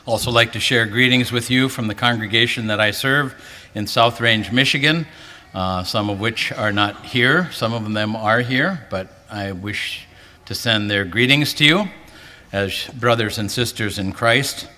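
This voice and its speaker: A man's voice: unhurried, sharp tone. A male pastor giving an earnest sermon to a convention, lifting his voice for emphasis. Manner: earnest, rising pitch for emphasis. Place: large hall, preaching to a convention. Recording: hall reverb.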